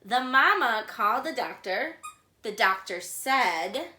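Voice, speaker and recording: A woman with a high, bright voice hosts a children's storytime in an echoey room. She reads a picture book aloud with deliberate, singsong phrasing aimed at little listeners. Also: animated.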